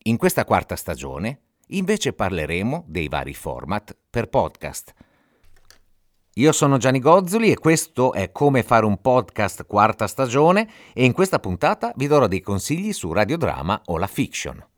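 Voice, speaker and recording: Lively low-pitched man hosting a podcast. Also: moderate pace, no echo, studio quality. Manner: didactic, addresses listener directly. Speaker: podcast host. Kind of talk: scripted explanatory narration.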